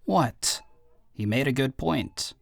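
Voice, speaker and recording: A man's voice: mid-range voice, animated, slow, creaky. A man acting in an audio roleplay as an earnest, insistent brotherly friend, talking in a persuasive, conversational way.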